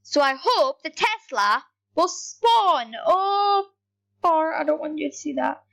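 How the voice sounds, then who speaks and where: A woman giving rambling, excitable commentary over her gameplay, talking straight to her viewers. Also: high voice, slow pace, vocal fry. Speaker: gaming YouTuber.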